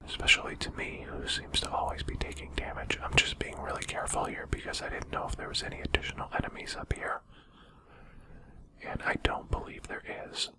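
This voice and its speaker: Someone whispering soft, unhurried gameplay commentary in a breathy, conversational ASMR style. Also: extremely high pitch, poor audio. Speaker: video game streamer and commentator.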